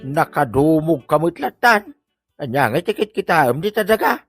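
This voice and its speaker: A man's voice: fairly high pitch, big pitch swings, moderate pace, fairly bright tone, soft background music. A man with an Ilocano accent playing a teasing, nosy buddy in a village comedy drama, full of exclamations.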